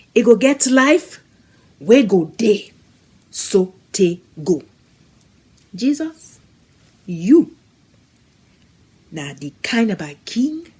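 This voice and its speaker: A woman's voice: sits high, slightly rough. A woman with a West African Krio accent delivers a dramatic devotional monologue, speaking emphatically and addressing listeners directly with rhetorical questions. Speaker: devotional reciter.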